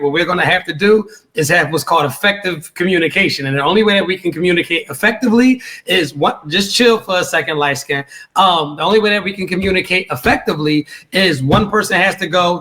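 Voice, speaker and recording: A man's voice: on the high side, sharp tone. A man hosting a live podcast debate, moderating in a laid-back, casual way with lots of fillers. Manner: laid-back, filler-heavy, asks "right?" often.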